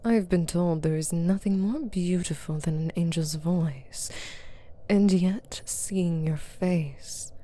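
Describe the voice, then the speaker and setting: A woman voicing a stern, taunting female demon captor in an ASMR roleplay, authoritative and teasing, often dropping to a breathy murmur. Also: lowish voice, slow pace, dark tone. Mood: mocking, admiring. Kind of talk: ASMR roleplay monologue to the listener.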